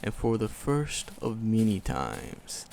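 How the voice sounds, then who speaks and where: A man voicing an ancient, hulking forest demon, tender and wistful, in a gravelly, poetic, slow-phrased ASMR roleplay. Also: on the low side, very animated, darkish tone, slight background noise, full character voice. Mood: fond, nostalgic. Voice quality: gravelly, growling creak. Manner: slow, poetic, storybook phrasing with dramatic pauses.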